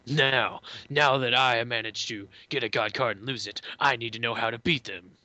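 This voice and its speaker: A man's voice: mid-range voice, moderate pace, bright, forceful tone, no echo. A young man chatting casually and jokingly as co-host of a fan movie commentary, slipping into mock quoting voices.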